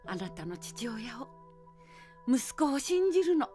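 A woman's voice: fairly high pitch, sing-song, measured pace, mellow, faint music behind. An actress playing an elderly mother, earnest and tender as she reassures her daughter in an audio drama. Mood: tender reassurance. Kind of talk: Japanese audio drama acting.

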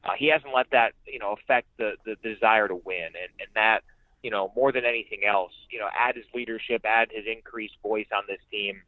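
A man's voice: on the low side, fairly even intonation, brisk, no room sound. A man giving conversational sports analysis as a radio announcer guest, emphatic and repeating phrases for emphasis. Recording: muffled, band-limited phone-like radio sound.

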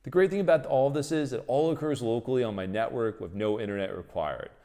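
A man's voice: medium pitch, fast, warm tone. A man, explaining technology as the host of a tutorial video. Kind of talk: explanatory tech tutorial, presenting to camera and in voice-over.

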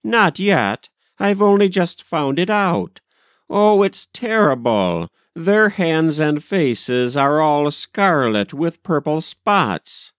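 A man's voice: a little high, big pitch swings, slow pace, smooth, no echo, clean studio sound, pitched above the performer's own voice. A man voicing an agitated, indignant nursery governess in a storybook, with a frantic, emphatic manner. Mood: alarmed. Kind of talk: storybook character voice.

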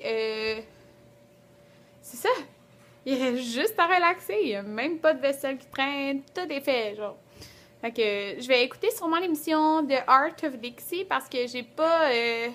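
A woman's voice: high-pitched, a bit bright. A woman in her twenties with a Quebec French accent, chatting colloquially to camera in a casual vlog, recorded indoors on a handheld camera. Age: 20s. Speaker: beauty vlogger. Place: small rooms at home, indoors. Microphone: camera at arm's length.

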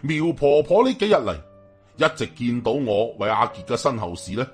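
A man's voice: medium pitch, sing-song, normal speed, breathy edge, soft background music. A man with a Hong Kong Cantonese accent telling a story in a colloquial, suspenseful, dramatic narration.

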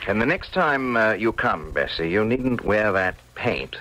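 An actor playing an imperious young painter, obsessively lovesick, abrupt and commanding, in old radio drama style. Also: male voice, fairly low pitch, sing-song, measured pace, fairly bright tone. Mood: brusque, commanding.

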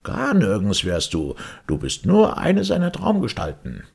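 A smug, scornful storybook twin, put on by a male reader. He sounds triumphant and contemptuous, with a gravelly, husky voice and very lively intonation. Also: on the low side, measured pace, pitched above the performer's own voice, full character voice.

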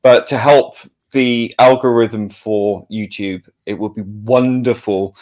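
A man's voice: on the low side, steady tone, takes it slowly, darkish tone. A man chatting casually as a podcast guest on a video call. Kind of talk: casual chat.

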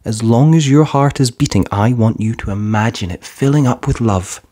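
A man's voice: mid-range voice, moderate pace, mellow, close to an everyday voice. A man voicing a sincere, caring nine-year-old boy in a children's storybook, with an earnest lilt. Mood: caring reassurance.

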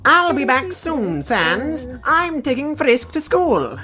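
A woman's voice: high voice, sing-song, slow, sharp tone, full character voice. A woman voicing a proud, exasperated male skeleton cook in a comic dub, theatrical and boastful. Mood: matter-of-fact. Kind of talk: comic dub cartoon acting. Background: music.

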